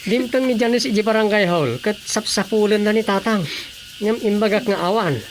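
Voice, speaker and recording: An actress in an Ilocano radio drama, with an expressive, pleading delivery. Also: female voice, mid-range voice, normal speed, a little hiss or hum, natural voice.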